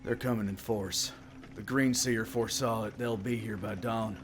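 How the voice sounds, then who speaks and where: A man voicing gruff, agitated orc villagers in a tabletop game, speaking bluntly with halting, swearing urgency. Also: fairly low pitch, steady tone, over music, lightly acted. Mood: alarmed, frantic. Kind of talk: in-character tabletop game voices.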